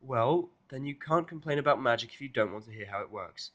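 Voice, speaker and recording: A man's voice: medium pitch, animated, a little fast, a bit bright, dull audio, voice pushed up. A man voicing a studious, easily flustered young female unicorn pony who speaks in formal, pedantic phrases for a fan fiction audio drama. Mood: indignant.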